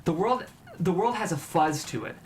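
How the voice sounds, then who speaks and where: A man's voice: a little high, lively intonation, normal speed, a little mellow. A man reading scripted stage directions as the narrator of a table read. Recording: clear background noise.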